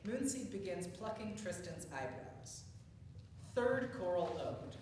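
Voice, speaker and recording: Low-voiced woman reading in an echoey room. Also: expressive, slow, far from the mic. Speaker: reader of stage directions. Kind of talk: scripted narration at a play reading. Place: theatre space, distant microphone. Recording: echoey room from afar, hissy noise.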